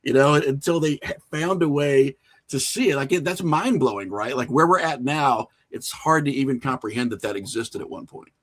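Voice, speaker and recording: A middle-aged man chatting casually as a podcast guest, telling animated stories and acting out quoted remarks. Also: mid-range voice, normal speed. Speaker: podcast guest, filmmaker and horror creator.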